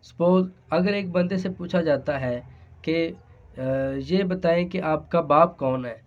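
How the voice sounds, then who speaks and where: Slow, halting Pakistani man lecturing. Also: a little high. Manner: halting, hesitant, repeats phrases. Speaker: law lecturer. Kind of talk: explanatory law lesson. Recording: dull, lo-fi sound.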